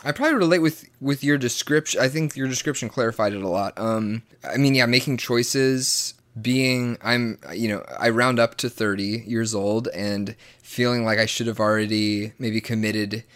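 A man's voice: mid-range voice, moderate pace, hardly any pauses, fairly bright tone. A man in his late twenties chatting casually as a tarot client, tentatively thinking aloud. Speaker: client receiving a tarot reading. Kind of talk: casual chat.

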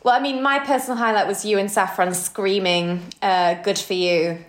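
A woman's voice: medium pitch, steady tone, moderate pace. A woman in her thirties co-hosting a casual podcast chat in an effusive, affectionate way. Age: 30s. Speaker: podcast co-host. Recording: roomy, echoey sound.

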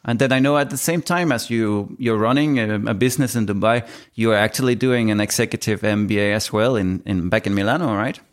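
A man hosting an interview show, speaking in a measured way and giving a motivational introduction. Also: fairly low pitch. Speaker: podcast host.

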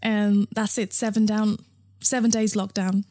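A woman's voice: medium pitch, flat delivery, measured pace, warm tone, no room sound, studio quality. Woman, frank and chatty. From Nepal. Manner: frank and chatty, with frequent fillers and restarts. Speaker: interview guest, founder of a period underwear brand. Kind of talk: casual interview conversation.

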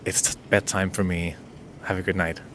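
Soft-spoken Danish man, hesitant and intimate. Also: deep voice, flat delivery, moderate pace, a little hiss or hum. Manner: hesitant, many restarts and fillers, intimate. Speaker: podcast host. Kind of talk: unscripted solo podcast monologue.

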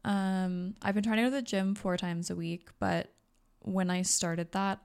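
A woman's voice: mid-range voice, fairly even intonation, a little slow, a little mellow, smooth. A young woman co-hosting a podcast in casual chat, laughing often and trailing off. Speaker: podcast co-host.

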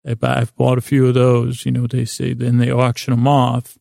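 A man hosting a bedtime podcast in a rambling, whimsical monologue, meandering and stumbling over words, with a gravelly, creaky voice. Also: mid-range voice, completely flat delivery, normal speed, dark tone, no echo, studio quality. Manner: silly, whimsical, soothing intent. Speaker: podcast host.

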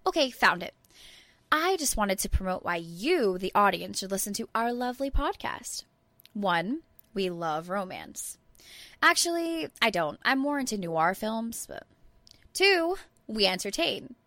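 A woman's voice: mid-range voice, very animated, measured pace, a bit bright, no echo. A woman playing a vain, flippant voice actor in a comic podcast promo. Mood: smug, flippant.

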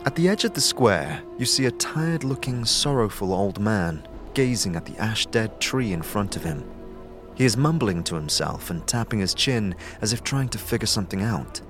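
Man, lowish voice, measured dramatic narrator, scripted fantasy story, music underneath. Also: expressive.

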